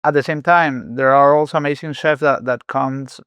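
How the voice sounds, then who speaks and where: A middle-aged man from Venezuela talks as a chef guest on a podcast, weighing his words with frequent restarts and fillers. His voice is smooth and clear at a middle pitch. The sound is dry and close. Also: fairly even intonation, moderate pace, fairly bright tone, no echo, lo-fi sound. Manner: thoughtful, many restarts and fillers. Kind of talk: podcast interview.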